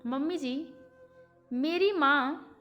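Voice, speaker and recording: Female narrator as young daughter-in-law, high-pitched, respectful, firm, long-suffering, audiobook drama, faint music. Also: takes it slowly, lo-fi sound. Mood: polite, firm. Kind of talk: audiobook story character.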